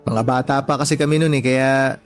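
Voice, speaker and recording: A man's voice: mid-range voice, fairly even intonation, a little fast, faint music behind, close to an everyday voice. A man playing a shy, humble and deferential young man in a radio drama. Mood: resigned, candid. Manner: polite and deferential. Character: humble, shy, self-effacing young layout artist born one-handed.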